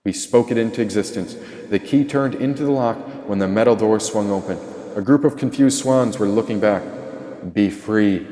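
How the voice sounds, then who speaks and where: A man's voice: lowish voice, fairly quick, mellow. A man reading an audiobook as a dramatic first-person story narration. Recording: echoey, long reverb tail.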